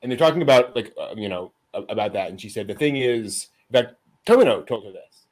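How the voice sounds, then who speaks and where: Low-pitched man hosting a casual chat. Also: very animated, fairly quick. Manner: chuckles often. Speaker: host of an anime news show.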